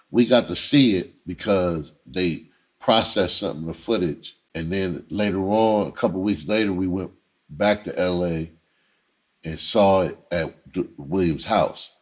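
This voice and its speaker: Middle-aged male storyteller, low-pitched and raspy, loose slangy delivery, off-the-cuff and meandering. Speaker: entertainer and filmmaker telling his own story. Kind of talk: casual storytelling.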